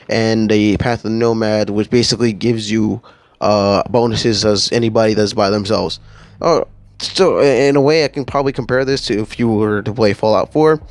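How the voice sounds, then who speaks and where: A man hosting a gaming channel, casually reading through patch notes and rambling with many fillers and restarts. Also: mid-range voice.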